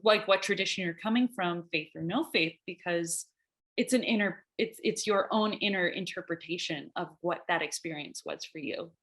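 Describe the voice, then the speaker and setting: A woman's voice: lowish voice, moderate pace, a bit bright. Thoughtful woman guest laying out points. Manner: thoughtful, structured, lays out points in order. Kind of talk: casual conversational interview.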